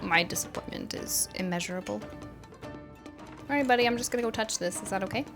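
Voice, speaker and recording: A woman's voice: mid-range voice, fast. A woman doing casual, playful gameplay commentary as a streamer, full of quips and teasing asides. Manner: quippy, dry teasing asides. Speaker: game streamer. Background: game music.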